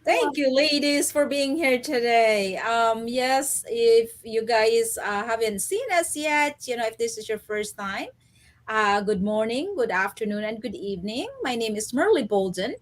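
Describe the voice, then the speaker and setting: A woman's voice: a little high, expressive, measured pace. Woman, friendly chat. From Philippines. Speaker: co-host. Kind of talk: casual online chat.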